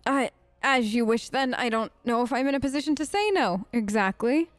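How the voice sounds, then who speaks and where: A woman's voice: fairly high pitch, expressive, normal speed, no room sound. Conversational woman gamer, close dry mic. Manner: conversational, hesitant, thinking aloud. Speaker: let's play streamer role-playing her character. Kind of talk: improvised in-character gaming commentary.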